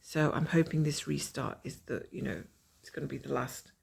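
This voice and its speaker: A woman with a croaky voice from a cold, chatting casually and rambling as she shows her cross-stitch progress from home. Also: very deep voice, moderate pace, a little mellow. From Scotland. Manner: rambling, chatty, self-mocking.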